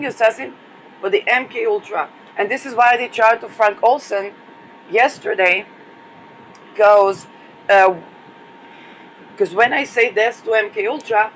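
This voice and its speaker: Hoarse woman host, solo astrology talk, hesitant and rambling, light background noise. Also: medium pitch, low-quality recording. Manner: hesitant, many fillers and restarts. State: losing her voice.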